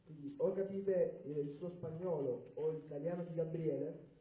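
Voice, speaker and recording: A male interpreter with a dark, mellow voice, relaying a sermon phrase by phrase and sometimes stumbling and restarting. The recording is distant, roomy and dull, from a church hall. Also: on the high side, moderate pace. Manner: translates phrase by phrase, with occasional restarts and hesitations.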